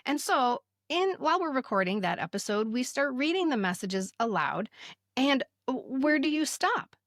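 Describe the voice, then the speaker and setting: Female podcast co-host, 50s, higher register, dry close sound, conversational delivery. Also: very animated, moderate pace, fairly bright tone, dry recording. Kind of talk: conversational podcast hosting, lightly scripted.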